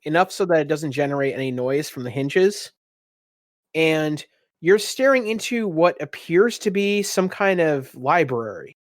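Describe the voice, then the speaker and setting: Man narrating a tabletop game, higher-pitched voice. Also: no echo, clean studio sound. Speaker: game master.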